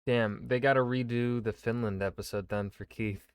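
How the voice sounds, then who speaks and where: A man's voice: medium pitch, normal speed, over music. A man hosting a reaction video, talking casually and offhand. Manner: relaxed, offhand. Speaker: history reaction channel host.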